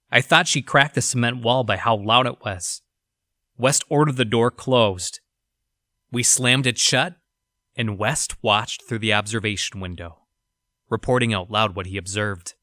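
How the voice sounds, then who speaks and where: A man plays a male 1960s scientist in an audio drama, reading out his grim journal. His voice is bright and forward at a middle pitch. He reports in a measured, clinical way with an uneasy undertone, recorded completely dry. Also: dry recording. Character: 1960s male lab researcher recounting grim experiments, unsettled.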